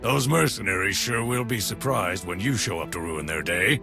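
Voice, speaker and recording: An actor playing a rough, sardonic former mining foreman turned militia fighter in a video game, speaking in a laconic, teasing way. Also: lowish voice, normal speed, music in the background. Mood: dry, teasing. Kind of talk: video game cutscene acting.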